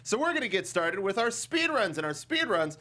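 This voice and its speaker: A man with a fairly high voice hosts a chatty film review show. His pitch moves around a lot, and he talks in a loose, halting ramble, often correcting himself. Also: sing-song, pushed and bright. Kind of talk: casual, chatty panel discussion.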